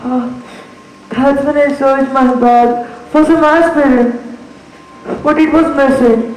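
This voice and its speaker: School drama, student actor as frightened injured lady, drawn-out and pained, very high pitch, heavy echo with faint noise. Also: a little mellow. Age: child or teenager. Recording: echoey school stage recording, faint noise.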